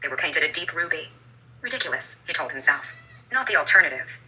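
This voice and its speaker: A woman's voice: deep voice, expressive, fairly quick. A woman audiobook narrator reading scripted fiction, heard as played back through a small speaker. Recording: distant noisy playback through small speaker. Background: clear background noise.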